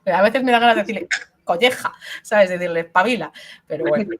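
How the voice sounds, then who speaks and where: A woman's voice: mid-range voice, quick pace. A middle-aged woman from Spain, an author speaking frankly and bluntly in a webinar interview, with many fillers and restarts, over a video call. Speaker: invited author and linguist.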